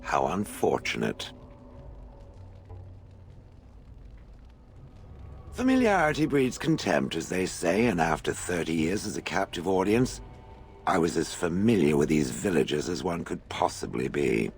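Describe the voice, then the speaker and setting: An actor playing a haughty, world-weary stone golem in a video game, with dry sarcasm, formal diction and a gravelly voice. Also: male voice, sits low, very animated, moderate pace, a little hiss or hum. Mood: dry disdain. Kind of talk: video game character acting.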